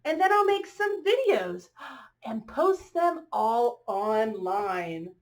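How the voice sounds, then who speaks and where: Woman, high pitch, unhurried pace, children's storybook read-aloud, expressive, question-filled asides. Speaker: teacher reading aloud to children.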